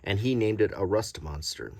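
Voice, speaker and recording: Low-voiced middle-aged man, casual show-and-tell. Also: expressive, moderate pace, warm tone, husky. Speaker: host presenting a vintage game card collection. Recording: noticeable background noise, small clicks.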